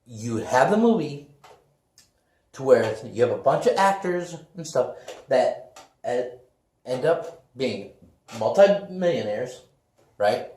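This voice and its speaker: A man co-hosting a podcast in casual chat, building up his points slowly and emphatically. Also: mid-range voice, big pitch swings, darkish tone. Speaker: podcast co-host. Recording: echoey room with faint noise and clicks.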